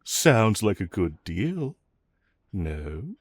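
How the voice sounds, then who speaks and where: A man playing a smug, flirtatious villain in an audio roleplay, teasing and coaxing the listener with self-assured, drawn-out phrasing. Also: on the low side, big pitch swings, breathy edge, dry recording, full character voice. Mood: persuasive, flirty.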